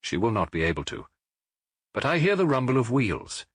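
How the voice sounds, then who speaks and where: A man voicing a brilliant, self-assured Victorian gentleman detective in an audiobook, with an English accent and a precise, authoritative way of giving instructions. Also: mid-range voice, huge pitch swings, moderate pace, breathy edge, no room sound, clean studio sound, full character voice. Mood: confident, reasoning. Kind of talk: audiobook character acting.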